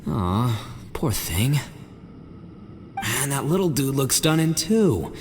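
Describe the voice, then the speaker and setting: Easygoing game tough guy, male, very animated pitch, unhurried, slangy, cocky yet big-hearted. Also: big-room sound, noisy background. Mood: fond, worried. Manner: casual, slangy, drawn-out delivery. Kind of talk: video game voice acting. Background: faint background music.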